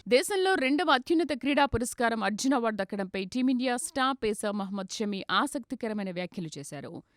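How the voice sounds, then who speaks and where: A woman's voice: fairly high pitch, lively intonation, fast talker, faint music behind. A woman reads a scripted news bulletin as a news reader in a formal manner.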